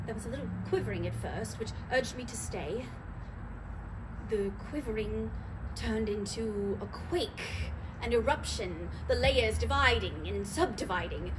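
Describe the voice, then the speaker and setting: A woman's voice: fairly high pitch, expressive, measured pace. A woman performing a dramatic monologue as an anxious, earnest woman baring an intimate memory. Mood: awed. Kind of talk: dramatic monologue in a staged reading. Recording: live stage reading, audible background noise.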